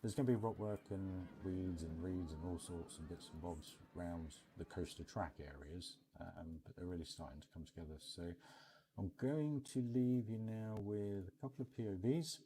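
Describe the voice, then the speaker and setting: Male game commentator, low and soft-toned voice, casual, unscripted thinking-aloud delivery. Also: moderate pace, slight background noise. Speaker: video creator showing his game park build.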